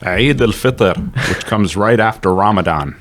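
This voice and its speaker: A man's voice: lowish voice, lively intonation, a little slow, a bit bright. A man from the West Bank, a first-time podcast guest, answering briefly with dry humor.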